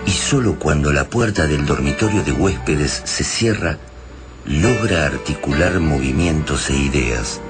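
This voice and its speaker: Storyteller, Argentine accent, deep bass register, solemn literary delivery, radio drama, clear musical backing. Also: male voice, lively intonation, unhurried, over music, full character voice. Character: solemn literary male narrator of a science fiction tale. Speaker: narrator.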